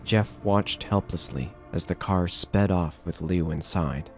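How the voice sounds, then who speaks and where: A man's voice: deep voice, measured pace, faint music behind. A man reading scripted narration for a horror audio drama.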